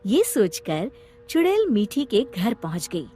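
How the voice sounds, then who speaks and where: A woman storyteller giving an expressive, dramatic scripted narration of a children's story. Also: mid-range voice, unhurried, breathy edge, faint music behind.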